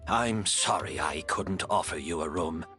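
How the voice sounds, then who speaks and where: A man playing a gentle, courteous master perfumer in a video game, apologetic and a little hesitant. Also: deep voice, a little slow, soft background music.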